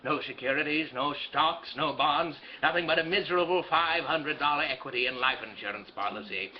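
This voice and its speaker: A man voicing a cold, cruel old male banker who talks slowly and with contempt. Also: a little high, muffled recording, close to an everyday voice. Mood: sneering. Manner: slow, contemptuous, mocking.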